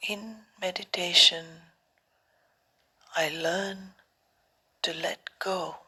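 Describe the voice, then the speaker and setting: A woman meditation teacher speaks extremely slowly in short, calm phrases separated by long pauses. Her voice is soft and breathy, often close to a whisper, and sits fairly low for a woman. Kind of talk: guided meditation.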